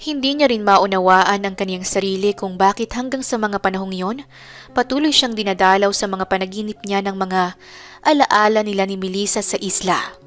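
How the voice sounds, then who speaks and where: A woman's voice: medium pitch, normal speed, fairly bright tone, faint music behind. A woman narrating a scripted romance story as a dramatic storyteller.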